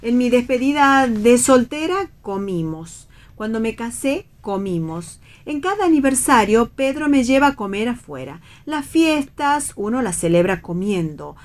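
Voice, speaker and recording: A woman's voice: fairly high pitch, very animated, a little slow, few pauses. An actress playing a chatty, inquisitive and naive mother with old-fashioned, homely tastes in a radio play reading. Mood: chatty, fussing. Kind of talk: radio read theatre dialogue.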